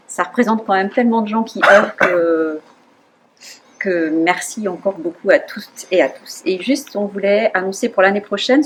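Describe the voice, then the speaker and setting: A woman's voice: medium pitch, a little hiss or hum, rough recording. A woman organizing a charity event, announcing dates and thanking volunteers in a friendly, encouraging way.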